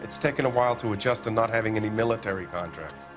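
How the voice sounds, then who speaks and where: A man's voice: fairly low pitch, very fast, close to an everyday voice. A man doing a pompous middle-aged factory boss, blustering, defensive, evasive, music underneath. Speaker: soup factory owner. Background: music score.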